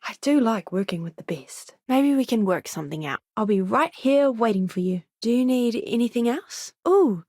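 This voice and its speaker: A woman's voice: on the high side, lively intonation, moderate pace, few pauses, a little mellow, dry recording, studio quality. A woman voicing an eager, curious young botanist and doctor in a spaceship crew for a video game, with an earnest, polite manner. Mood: curious, friendly.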